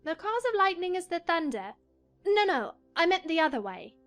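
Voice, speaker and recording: The earnest, very high-voiced young heroine of a children's audio play, reasoning politely over music woman acting. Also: very animated, moderate pace, fairly bright tone, exaggerated voice. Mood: earnest, exasperated. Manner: earnest, logical, faintly exasperated. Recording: music behind the voice.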